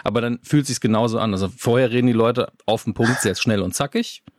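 A man interviewing a guest in casual chat, talking quickly with joking asides. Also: medium pitch, no echo, studio quality.

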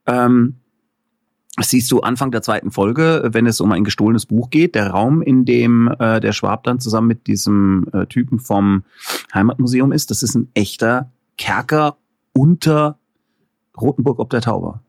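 A man in a relaxed interview spins his stories with lively energy, full of fillers and laughter. His voice sits in a middle range, and the recording is dry and close. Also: medium pitch, normal speed, gravelly, dry recording. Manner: animated storytelling with fillers and laughs. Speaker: interview guest, filmmaker. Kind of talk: casual interview chat.

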